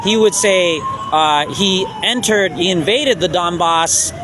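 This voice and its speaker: Halting male street interviewer, slightly high voice. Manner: halting, probing questions. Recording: outdoor street noise, traffic.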